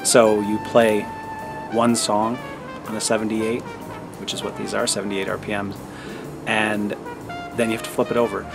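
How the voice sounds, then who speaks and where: A middle-aged man giving a thoughtful, halting personal monologue as a vlogger, in a measured way. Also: on the low side, flat delivery, gravelly, over music. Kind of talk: personal reflective monologue to camera.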